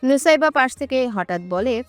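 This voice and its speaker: A woman's voice: sits high, animated, normal speed, clean tone, soft background music. A woman giving a scripted narration of a romantic story.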